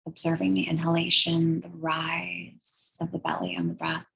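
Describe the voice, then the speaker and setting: A woman leading a guided meditation in a soothing, measured, breathy way, leaving long pauses between phrases. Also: lowish voice, monotone, a bit bright, poor audio. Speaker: guided meditation and yoga teacher.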